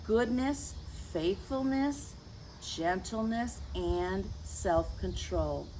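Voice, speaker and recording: A woman hosting a children's story time, reading aloud in a gentle, teacherly way and speaking directly to young listeners. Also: medium pitch, slight background noise, low-quality recording. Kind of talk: picture book read-aloud for children.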